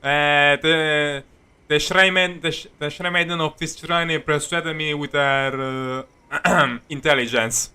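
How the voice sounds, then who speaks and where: A man casually commenting on a live game stream, halting and jokey, quick to react to what happens. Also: a little high, fairly even intonation, pushed and bright, clear, resonant tone.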